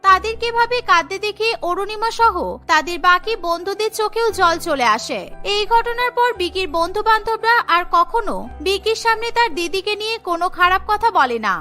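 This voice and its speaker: A woman's voice: very high voice, lively intonation, brisk, bright, forceful tone. A woman reading scripted narration for a children's cartoon story, with music behind her.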